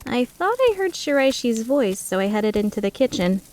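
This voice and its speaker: A woman's voice: on the high side, big pitch swings, moderate pace, darkish tone. A woman playing a flustered, skeptical young woman who narrates her own story introspectively in a fan-dubbed drama. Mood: puzzled, reflective. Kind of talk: fan dub drama with first-person narration.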